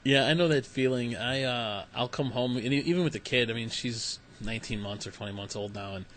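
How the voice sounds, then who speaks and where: A man's voice: mid-range voice, a little fast, fairly bright tone, a little hiss or hum. Easygoing male podcast host. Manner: easygoing, laughs often. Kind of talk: casual podcast interview.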